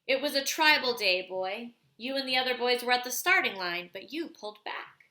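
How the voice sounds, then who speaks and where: Storybook elder played by a woman: wise old grandfather, patient, measured delivery, reassuring, bright tone. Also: on the high side, lively intonation, a little hiss or hum. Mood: reminiscing. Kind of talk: storybook read aloud.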